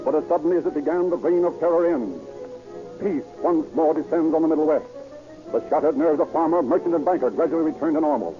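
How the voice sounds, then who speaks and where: A man's voice: a little high, fast, soft background music. A man narrating a vintage radio crime drama in a solemn, florid, scripted style. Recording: muffled old radio recording.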